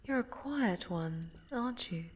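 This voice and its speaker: A woman playing a haughty, sinister necromancer villainess in a soft-spoken ASMR roleplay, silky, condescending and mockingly sweet, often sinking to a whisper. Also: on the high side, animated, a little hiss or hum. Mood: sly, curious. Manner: condescending, silky, menacing, drawn-out.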